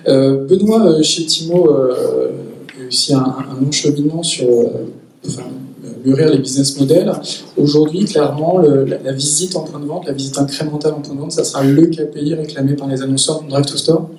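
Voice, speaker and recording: A man's voice: on the high side, fairly quick, a little mellow. A man moderating a round-table discussion, posing and rephrasing questions. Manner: questioning, prompting speakers. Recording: echoey room, far, faint noise.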